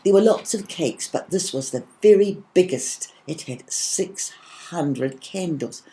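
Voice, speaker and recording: A woman's voice: lowish voice, low-quality recording. A woman voicing an eager, hesitant little girl who stammers as she tells fairy tales in a children's storybook. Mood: excited. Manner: halting, stammering, excitable.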